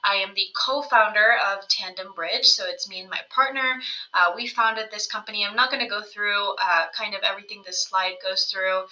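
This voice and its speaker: A woman's voice: medium pitch, pushed and bright, lo-fi sound. A woman, a company co-founder, giving a casual business presentation over slides, conversational and often checking in with "right?". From United States. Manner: conversational, frequent "right?" tag questions.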